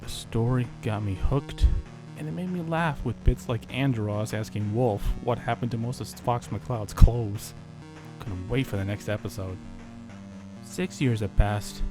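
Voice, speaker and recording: A man with a medium-pitched voice performs a dramatic reading of a scripted story. He narrates in the first person with a theatrical storyteller's delivery. Music plays clearly beneath his voice. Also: a little slow, rough recording. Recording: music under the voice.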